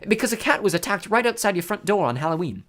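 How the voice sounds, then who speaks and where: A narrator voicing a curious, sensible schoolgirl with a brisk, inquisitive manner, in an audiobook story. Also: medium pitch, big pitch swings, a bit bright, pitched above the performer's own voice. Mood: careful, polite.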